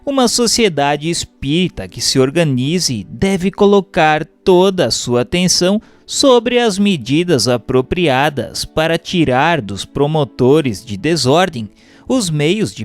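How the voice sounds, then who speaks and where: A man with a Brazilian accent reading a book aloud as a formal, measured, didactic narration. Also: fairly high pitch.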